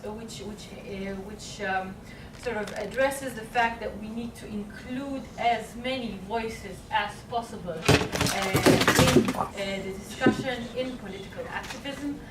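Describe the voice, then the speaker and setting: A woman presenting at a conference panel, speaking haltingly with many fillers and restarts. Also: on the high side. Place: conference hall. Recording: echoey room, far mic, noisy.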